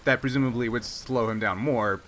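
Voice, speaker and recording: Bright-voiced man running a tabletop game. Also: medium pitch, a little fast. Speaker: game master. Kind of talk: tabletop game narration, casual rules talk. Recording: clear background noise.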